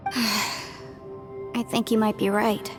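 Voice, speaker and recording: A woman's voice: medium pitch, very slow, over music. A woman voicing a cheerful, articulate and friendly young legal adviser in a video game. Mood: concerned. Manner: friendly, expressive, chatty.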